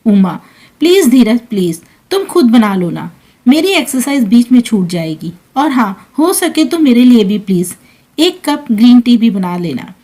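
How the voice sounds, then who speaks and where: Woman playing a self-centred, animated young wife. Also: fairly high pitch, normal speed. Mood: annoyed. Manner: breezy, entitled. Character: self-centred, sweet-talking working daughter-in-law.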